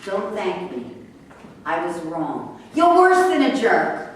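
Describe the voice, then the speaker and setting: Echoey, distant, defiant sharp-tongued woman played by an actress. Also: fairly low pitch, huge pitch swings, slow, exaggerated voice. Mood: accusing, scornful. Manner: sarcastic, confrontational. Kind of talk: staged play reading. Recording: echoey room, distant mic, faint noise.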